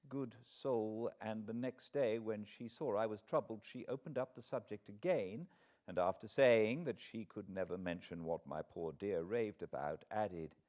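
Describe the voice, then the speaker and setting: A man's voice: lowish voice, a little mellow. A British man narrating a classic novel as a dramatic audiobook reading. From Britain.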